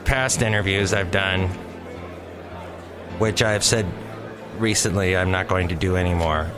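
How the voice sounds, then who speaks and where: A man hosting his own podcast in a rambling, jokey monologue with playful asides. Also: lowish voice. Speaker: podcast host. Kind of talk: casual solo podcast monologue.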